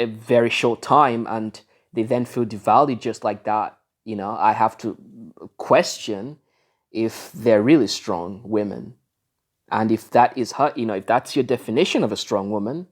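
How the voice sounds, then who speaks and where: A man from Africa giving reaction commentary on a video, lecturing his viewers with frequent restarts and fillers. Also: medium pitch.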